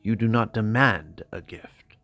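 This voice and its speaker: Man playing a grave, low-voiced exiled knight. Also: a bit bright, no room sound, faint music behind. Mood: cautioning. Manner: grave, measured. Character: seasoned exiled knight, sober, loyal male advisor.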